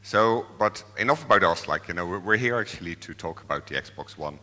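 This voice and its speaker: A very deep-voiced man presents at a conference, stopping often for fillers and restarts. His tone is bright and forceful, and long hall reverb hangs behind him. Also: lively intonation, fairly quick. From Netherlands. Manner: hesitant, many fillers and restarts. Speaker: software developer presenting.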